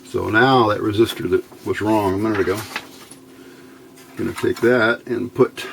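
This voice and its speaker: A man's voice: on the low side, fairly even intonation, normal speed, creaky, background noise. A man giving an informal hands-on electronics tutorial, thinking aloud as he works. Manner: unscripted, thinking aloud while working. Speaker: electronics hobbyist instructor.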